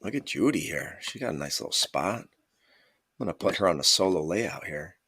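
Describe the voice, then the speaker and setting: A man's voice: low voice, lively intonation, brisk, fairly bright tone, slightly rough, dry recording, clean studio sound. A man in his forties hosting a fishing league stream, chatting casually and rambling with friends. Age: 40s. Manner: chatty, rambling, laughs easily. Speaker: league show host. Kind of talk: casual live-stream chat.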